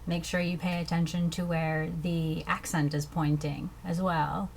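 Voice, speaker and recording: A young woman from the States, teaching language phrases slowly and repeating each one before translating it. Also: sits low, flat delivery, vocal fry, darkish tone, slight background noise. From southern United States. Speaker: language learner teaching vocabulary. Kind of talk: slow, careful language lesson with repeated phrases.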